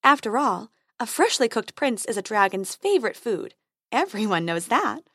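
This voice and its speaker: A brisk, confident tooth fairy dentist, as a woman puts her on, high and chirpy, with lively leaps in pitch. Her voice is dry and close to the mic. She is an unflappable storybook heroine. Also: no room sound, exaggerated voice.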